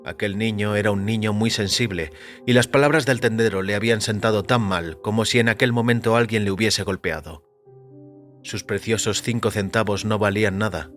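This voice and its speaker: A man reading a first-person short story as a narrator, in a measured, deliberate way. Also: fairly low pitch, steady tone, a bit bright. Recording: music under the voice. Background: background music.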